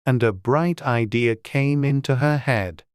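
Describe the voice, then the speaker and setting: An audiobook narrator reading a storybook in a measured, unhurried and gently expressive way. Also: medium pitch, clean tone, no echo, clean studio sound.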